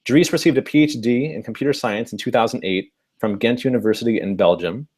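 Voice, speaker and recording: A man's voice: medium pitch, a little fast, darkish tone, breathy edge. A man hosting an interview, welcoming the audience and introducing his guest formally. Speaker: interviewer, host. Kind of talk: hosted interview.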